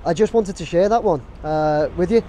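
A man's voice: high-pitched, moderate pace, dark tone, slight background noise. A man in his thirties vlogging to a handheld camera on a city street, talking casually with lots of fillers and restarts. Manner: rambling, many fillers and restarts. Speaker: drone videographer. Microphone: camera held at arm's length.

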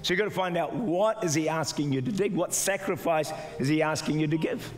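An older man preaching a sermon as pastor, exhorting the congregation, sometimes shouting and mimicking doubters in a higher voice. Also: fairly quick, slight background noise. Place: large church auditorium with stage. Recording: live church hall reverb with music. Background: soft music and congregation responses.

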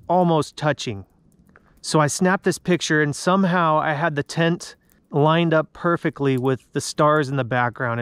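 Man, 30s, unhurried outdoor vlogger, slightly high voice, relaxed chatty delivery. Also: clean tone, no room sound. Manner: relaxed, savoring food, chatty asides to viewers. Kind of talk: casual chat to camera. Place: outdoors at a snowy winter campsite at night.

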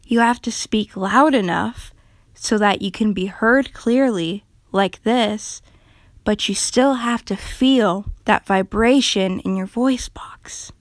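A woman voicing a gentle, timid character who comforts animals, speaking softly and calmly in a breathy near whisper. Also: mid-range voice, slow pace, no room sound, natural voice. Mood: gentle, hushed. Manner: calm, gentle, hesitant, comforting.

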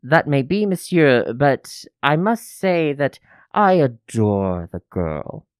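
A man's voice: mid-range voice, big pitch swings, slow, no echo, clean studio sound. A man playing a greedy French innkeeper in a radio drama, oily and haggling as he feigns fatherly love. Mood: oily, wheedling. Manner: oily, haggling, theatrically insistent.

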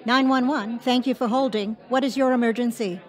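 An actress playing a brisk, procedural emergency call dispatcher in an audio drama. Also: female voice, fairly high pitch, fairly even intonation, noisy background, lightly acted. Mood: businesslike. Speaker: 911 operator.

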